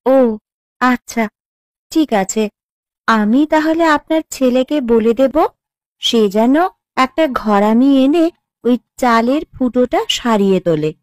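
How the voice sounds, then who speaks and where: Polite young bride, slow and lively. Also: female voice, on the high side, no room sound. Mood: obliging. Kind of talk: Bengali cartoon voice acting.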